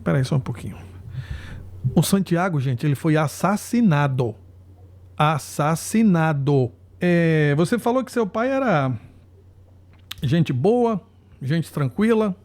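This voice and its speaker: A Brazilian man hosting a podcast interview, talking in an earnest, halting, apologetic way. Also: on the high side, expressive, darkish tone. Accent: Brazilian Portuguese. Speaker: podcast host. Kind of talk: emotional interview.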